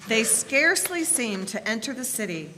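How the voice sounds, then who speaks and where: A woman narrating a story in a deliberate, scripted dramatic reading. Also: a little high, very animated, slight background noise. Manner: measured, deliberate. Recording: loud music behind the voice.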